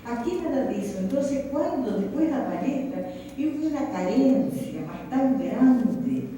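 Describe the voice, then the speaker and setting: An Argentine woman talks in a loose, rambling way, slipping Spanish words into her Portuguese. Her voice is dark and mellow. She is recorded from a distance in a hall with a long, roomy echo. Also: on the high side, very animated, measured pace, a little hiss or hum. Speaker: guest artist. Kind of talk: conversational talk to an audience. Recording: echoey hall, mic far off.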